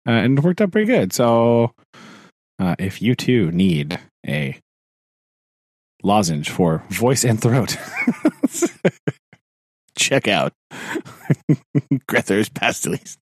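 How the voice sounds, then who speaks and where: A man co-hosting a casual podcast, talking in a loose, rambling way full of fillers and quick laughs. His voice sits in a middle pitch, recorded close and dry, and he clears his throat from time to time. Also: big pitch swings, no echo. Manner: chatty, rambling, laughs often, many fillers. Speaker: podcast co-host, teacher.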